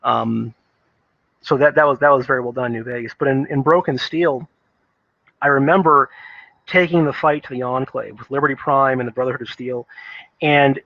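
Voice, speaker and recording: A man's voice: medium pitch, steady tone, moderate pace, creaky, dry recording. Man rambling earnestly, dull lo-fi mic. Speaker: video game commentator. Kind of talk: casual unscripted opinion commentary on video games.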